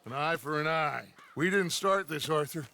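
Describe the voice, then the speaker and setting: An actor voicing a grandiose middle-aged American outlaw gang leader in a video game, with a theatrical, oratorical delivery. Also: male voice, fairly high pitch, moderate pace, gravelly, pushed and bright, slight background noise. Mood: sly, persuasive. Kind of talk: dramatic video game acting.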